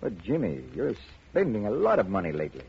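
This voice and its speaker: A man's voice: fairly low pitch, wildly swooping pitch, quick pace, gravelly, darkish tone, full character voice. An actor playing an indulgent, hesitant older father who fusses and repeats himself in a radio drama. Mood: exasperated, indulgent. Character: indulgent, flustered older father, a retired banker. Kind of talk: 1950s radio drama acting. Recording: muffled, noisy old radio recording.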